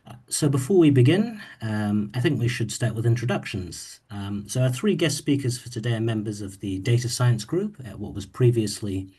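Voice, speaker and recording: Kiwi guy hosting a webinar, low mellow voice, kinda husky, lots of ums. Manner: easygoing, with frequent ums and uhs. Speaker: webinar host, moderator. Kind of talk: moderating a webinar.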